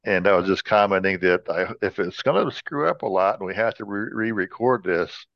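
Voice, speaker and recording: A man with a fairly low voice hosts a casual talk podcast. He chats loosely and drops jokey wisecracks. The recording is dry and close, with a dull, lo-fi tone. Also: animated, brisk, gravelly, no room sound. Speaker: podcast host. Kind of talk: casual joking chat.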